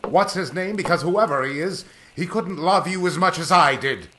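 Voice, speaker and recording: An actor playing a heartbroken young aviator in an old-time radio drama, with a melodramatic, drawn-out delivery. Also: male voice, high-pitched, pushed and bright, a little hiss or hum. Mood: hurt. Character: heartbroken, pleading young male aviator, old-fashioned leading man. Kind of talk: 1930s radio drama acting.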